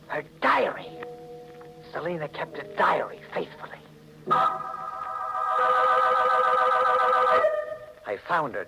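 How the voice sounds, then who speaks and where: A man's voice: mid-range voice, lightly acted, poor audio. Man acting a haunted radio thriller narrator, music behind. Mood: brooding, obsessed. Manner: dramatic, brooding, halting. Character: haunted, intense male narrator, greedy husband driven toward murder. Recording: old 1940s radio recording with music.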